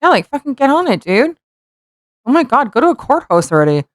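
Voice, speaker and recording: A woman's voice: high-pitched, very animated, a little fast, no echo, clean studio sound. A woman co-hosting a podcast, doing a casual dramatic read-aloud with playful, mocking, sweary asides. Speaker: podcast co-host.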